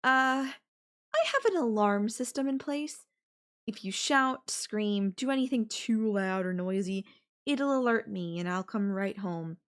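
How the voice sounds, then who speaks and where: A woman's voice: medium pitch, lively intonation, measured pace, close to an everyday voice. A woman voicing a possessive, sweetly menacing yandere girlfriend in an intimate audio roleplay, coaxing the listener with affection and veiled threats. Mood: sweetly threatening.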